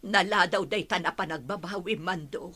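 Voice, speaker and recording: A woman acting a bitter, accusing mother with a husky, strained voice in an Ilocano audio drama. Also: lowish voice, moderate pace, bright, forceful tone. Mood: bitter blaming. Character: bitter, accusing middle-aged mother and wife of Mando.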